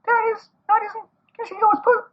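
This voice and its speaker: A man voicing a small, childlike storybook animal with a squeaky, eager, excited voice. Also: fast. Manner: breathless excited childish chatter. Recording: dull lo-fi recording.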